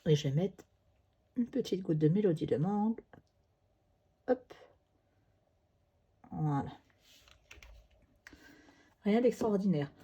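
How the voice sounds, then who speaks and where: A woman's voice: lowish voice, expressive, takes it slowly, long pauses, dark tone, husky. A woman with a cold, coughing now and then, chatting her way through a crafting tutorial with little fillers as she works. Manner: chatty, fillers like "hop" and "euh" while working. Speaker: crafting demonstrator.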